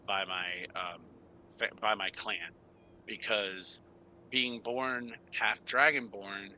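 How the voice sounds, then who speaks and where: Low-pitched, bright-voiced man improvising a story. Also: fairly even intonation, rough recording. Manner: halting, self-correcting, searching for words. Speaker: tabletop game player. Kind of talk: improvised in-character storytelling in a tabletop game. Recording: faint background noise.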